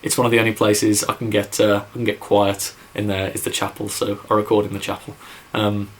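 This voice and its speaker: Rambling young English guy, lowish voice. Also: completely flat delivery, a little fast. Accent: English Midlands. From England, near Birmingham. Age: young adult. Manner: rambling, lots of fillers and "like", says so himself. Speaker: YouTube musician answering viewer questions. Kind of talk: casual vlog Q&A.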